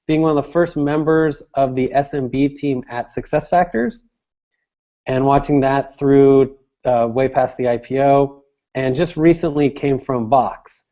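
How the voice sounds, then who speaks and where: Man, interview guest, dark mellow tone, conversational, explanatory, restated phrases, slightly lo-fi call audio. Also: medium pitch. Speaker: interview guest, sales director.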